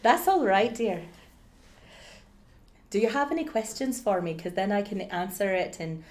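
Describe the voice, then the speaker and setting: A woman putting on an Irish accent as she gives an informal lesson on accents, explaining haltingly and thinking aloud as she coaches. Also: medium pitch, very animated. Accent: put-on Irish. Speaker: accent coach giving a demonstration.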